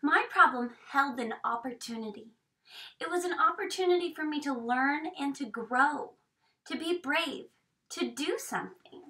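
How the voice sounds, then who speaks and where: A woman in her thirties reading a picture book aloud expressively, with dramatic hushed emphasis, recorded from a camera across a small room. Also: high voice, normal speed. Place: small indoor room with bookshelves. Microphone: camera a few metres away. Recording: distant microphone in a room.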